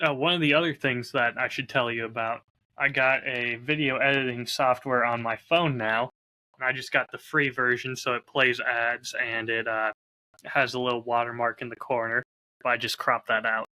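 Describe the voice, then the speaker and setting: Low-key man with a very bright voice. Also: steady tone, moderate pace, poor audio. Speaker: podcast co-host and audio drama creator. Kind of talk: casual podcast chat.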